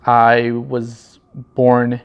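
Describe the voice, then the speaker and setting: A man from Los Angeles County talks casually and reflectively about himself, halting often and repeating his phrases. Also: medium pitch, monotone, smooth. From Los Angeles County, California. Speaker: vlog host and marketing director. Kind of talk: casual personal monologue, storytelling. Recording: slightly dull, lo-fi sound.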